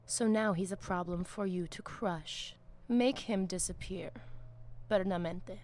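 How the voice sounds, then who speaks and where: An actress voicing a zealous vampire priestess in a video game, with a Spanish accent and a solemn, commanding manner that mixes in Spanish. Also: female voice, fairly low pitch, measured pace, a little mellow, a little hiss or hum, natural voice. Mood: contemptuous, commanding. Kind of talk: video game dramatic dialogue.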